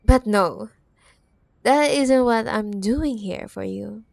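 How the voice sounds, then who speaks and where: A woman's voice: fairly high pitch, slow, vocal fry, no echo. A woman playing a possessive, teasing cyberpunk CEO ex-girlfriend in an ASMR audio roleplay, condescending, drawing out her words and pet names. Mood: demanding, possessive.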